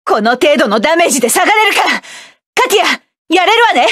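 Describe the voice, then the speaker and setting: An actress voicing a proud, tough woman mech pilot in a game, with sharp, self-assured combat lines. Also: extremely high pitch, very animated, a little fast, no echo, clean studio sound, exaggerated voice. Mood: battle-ready. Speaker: game character.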